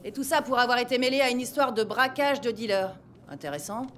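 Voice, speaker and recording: A woman's voice: on the high side, fairly quick, a bit bright, a little hiss or hum, close to an everyday voice. A woman playing a stern, proud police captain who speaks with formal, sardonic authority in an audio drama. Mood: cutting, sardonic. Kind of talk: dramatic audio series acting. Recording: music bed behind the voice.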